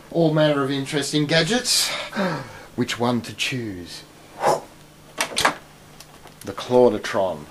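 A man's voice: mid-range voice, background noise. A middle-aged man explaining his work in a casual, rambling, wry way. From Australia. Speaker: musician and inventor. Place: small cluttered home studio indoors.

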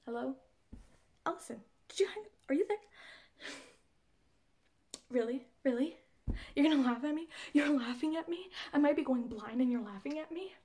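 Woman acting a high-pitched, frantic, flustered young woman. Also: animated, fast talker, vocal fry, pauses often, darkish tone. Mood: panicked, indignant. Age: 20s. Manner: flustered, exasperated, rambling. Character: frantic young woman pleading with a friend by phone. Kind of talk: comedy monologue audition acting.

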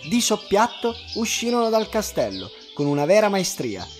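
A man with a high-pitched voice narrates a children's tale. His delivery is expressive and scripted, with music playing behind him. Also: moderate pace. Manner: expressive, storytelling.

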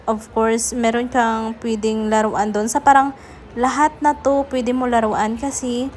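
A woman's voice: a little high, little pitch movement, unhurried, darkish tone, slight background noise. A Filipino woman narrating a casual vlog, chattily addressing her viewers. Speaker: vlogger.

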